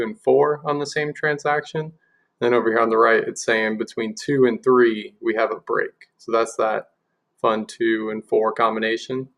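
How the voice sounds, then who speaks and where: A man giving an informal screen-share software demonstration, explaining things step by step. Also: medium pitch, creaky.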